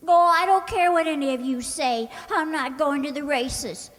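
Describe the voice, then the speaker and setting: A woman playing a ditzy, soft-hearted, stubborn young secretary who muddles her words, in old-time radio comedy style. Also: sits high, moderate pace, lightly acted. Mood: indignant, stubborn. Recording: echoey hall, long reverb.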